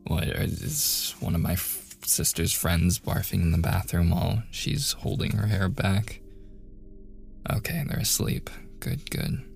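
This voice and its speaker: A young man acting a playful, teasing younger brother in a soft, intimate boyfriend roleplay with casual, hesitant phrasing. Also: low-pitched, gravelly, exaggerated voice. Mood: teasing, curious.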